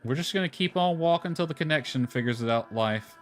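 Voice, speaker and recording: A man's voice: on the high side, animated, brisk. Casual male game streamer with music behind. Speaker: game livestreamer. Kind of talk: casual gameplay stream commentary.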